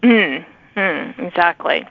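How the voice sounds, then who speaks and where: A woman's voice: lowish voice, lively intonation, slow pace, vocal fry, dry recording, lo-fi sound. A woman hosting an interview podcast, asking friendly questions and giving encouraging responses. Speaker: podcast host.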